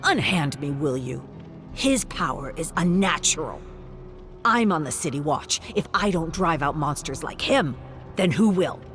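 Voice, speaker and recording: A performer playing a drunken village watchman from a gothic fantasy tale, loud, harsh and full of accusation. The voice brays and threatens, with music underneath. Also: mid-range voice, expressive, a little slow. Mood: drunken, hostile. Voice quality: harsh, braying.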